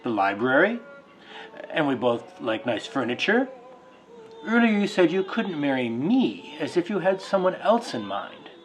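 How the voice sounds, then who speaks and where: A man's voice: fairly high pitch, big pitch swings, a little slow, soft background music, poor audio. A man playing a fussy, practical bachelor suitor with an insistent, matter-of-fact way of talking in a drama reading.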